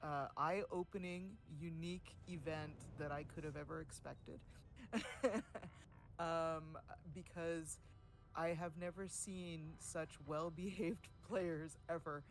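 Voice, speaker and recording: A man recalls community events in loose, casual livestream chat. His voice sits fairly high, and he laughs easily and often between his ums. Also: fairly even intonation, takes it slowly, faint music behind. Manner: chatty, laughs often, many fillers; says he has a louder voice than most.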